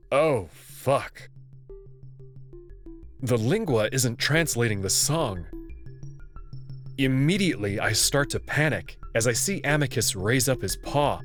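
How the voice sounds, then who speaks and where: Guy reading a visual novel out loud, lively pitch, soft music under it. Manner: expressive first-person reading, with outbursts.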